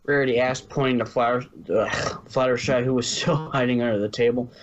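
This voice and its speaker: A man's voice: medium pitch, fairly even intonation, a little fast, slight background noise. A man narrating fan fiction informally, stumbling over words now and then, on a muffled home recording.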